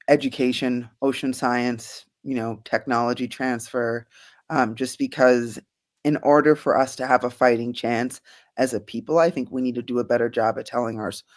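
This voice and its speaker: A woman, a guest scientist, answering interview questions earnestly and thoughtfully, with frequent soft laughs. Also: rumbling low. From Bahamas.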